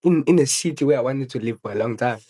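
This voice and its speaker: Young South African man, halting chat. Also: medium pitch, mellow. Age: young adult. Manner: halting, many restarts and fillers, slangy. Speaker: podcast co-host. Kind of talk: casual chat.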